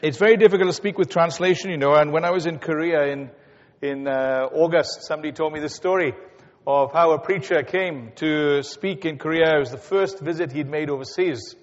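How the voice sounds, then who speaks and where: A man with a Welsh accent giving a sermon as guest speaker, chatty and full of anecdotes, pausing on fillers as he goes. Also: on the high side. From Wales. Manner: chatty, storytelling, with hesitations. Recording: dull sound with long hall reverb.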